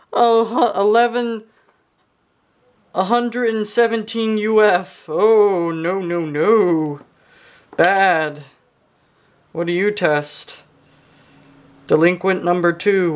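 A young man giving casual hands-on commentary as a hobbyist repairer, muttering to himself with exclamations and casual swearing. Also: high voice. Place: indoors at a workbench.

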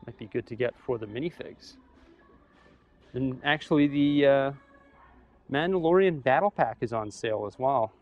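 A young man filming himself in a big store, chatting casually as he weighs up prices and thinks out loud. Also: medium pitch, normal speed, pauses often, lo-fi sound. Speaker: vlogger. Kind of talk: casual shopping vlog. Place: large store aisle, indoors. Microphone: camera held at arm's length.

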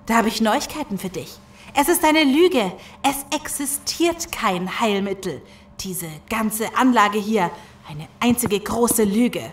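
A woman's voice: a little high, expressive, slow, slight background noise. A woman playing a defiant, resolute captive in a German audio drama.

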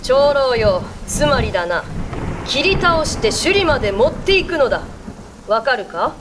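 A male actor playing an imperious, threatening samurai envoy, proclaiming loudly in a radio drama. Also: very high voice, sing-song, unhurried, background noise, heavily acted. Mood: commanding. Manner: loud, haughty proclaiming.

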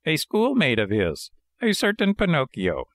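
A kindly, inquisitive old villager from a storybook, played by a man. He speaks in a raised, lilting pitch with an earnest, questioning manner. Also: wildly swooping pitch, moderate pace, no echo, studio quality. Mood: grave. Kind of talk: storybook character voice in audiobook narration.

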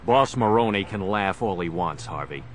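An actor playing a stern, determined masked vigilante in a children's superhero audio drama. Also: male voice, low-pitched, expressive, normal speed, slight background noise. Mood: grave, determined.

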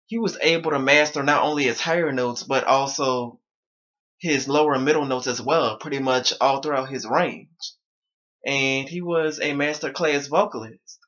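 A man's voice: medium pitch, bright, forceful tone, dull audio. A young man talking casually to his viewers from his bedroom, his voice a little strained. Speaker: vlogger. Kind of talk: casual talk to camera. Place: small bedroom indoors.

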